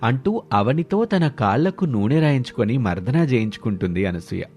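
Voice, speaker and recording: A man's voice: mid-range voice, very animated, normal speed, darkish tone. A man narrating a scripted family moral story as a storyteller. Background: background music.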